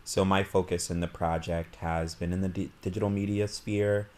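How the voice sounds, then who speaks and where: Deep-voiced man, measured and earnest. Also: flat delivery, a little mellow. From Illinois, US. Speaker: digital media producer. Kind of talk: casual group introduction.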